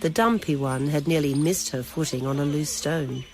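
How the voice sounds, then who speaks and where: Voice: medium pitch, moderate pace, a little mellow. A narrator reading literary, scripted prose for a radio drama. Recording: noisy old radio recording.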